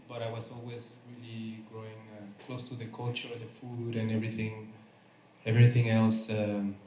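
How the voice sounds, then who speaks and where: Dark-voiced man in an echoey room. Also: lowish voice. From Mexico. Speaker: composer, panelist. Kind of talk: self-introduction at a conference. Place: conference room. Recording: echoey room with faint hum.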